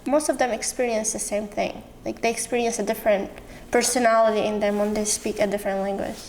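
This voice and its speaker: A woman's voice: medium pitch, fairly even intonation, fast, a little mellow, slight background noise, rough recording. A woman from Syria with a Syrian Arabic accent and Arabic as her native language, talking expressively and informally as a podcast guest. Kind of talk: casual personal interview conversation.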